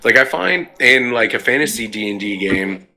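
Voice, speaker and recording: A man's voice: medium pitch, lively intonation, moderate pace, bright, forceful tone. A man chatting casually and enthusiastically about tabletop gaming in an informal way. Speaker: podcast panelist and game player.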